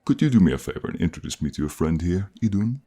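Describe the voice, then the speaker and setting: A man playing a proud, boastful Norse thunder god with a gruff, husky voice in a fantasy audio roleplay. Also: low voice, sing-song, quick pace, dark tone, heavily acted. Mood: hearty. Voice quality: gravelly, husky. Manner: boastful, pompous, easily provoked.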